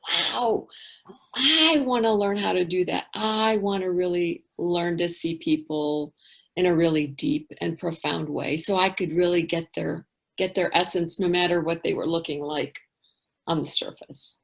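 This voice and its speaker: Middle-aged woman, interview guest; candid, reflective, a touch low in pitch. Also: a little slow, gravelly. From England. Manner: thoughtful, candid, trails off into quiet asides.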